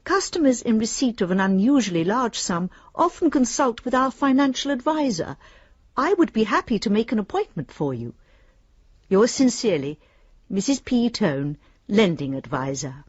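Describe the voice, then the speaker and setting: An actress playing a courteous, officious British bank lending advisor reading formal letters in a radio drama. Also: female voice, a little high, sing-song, moderate pace, slightly rough, dry recording, muffled recording. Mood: prim, officious.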